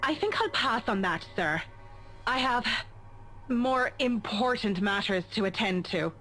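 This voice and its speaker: Guarded, higher-voiced professional. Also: female voice, expressive, measured pace, a bit bright, soft background music. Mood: uneasy, guarded. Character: reserved professional woman, polite, guarded, businesslike. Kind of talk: visual novel game voice acting.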